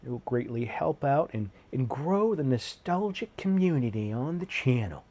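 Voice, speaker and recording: A stuffed-up man with a cold, a little sniffly, chatting loosely on his live stream. He greets viewers one by one, tossing in dude and man, in a voice of ordinary middle pitch. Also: expressive, moderate pace, dark tone. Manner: laid-back, slangy, says dude and man a lot.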